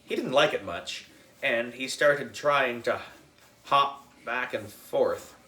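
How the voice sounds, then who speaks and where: A man reading a children's book as a young boy narrator, expressive, dramatic and playful. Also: mid-range voice, takes it slowly, echoey room. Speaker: audiobook reader.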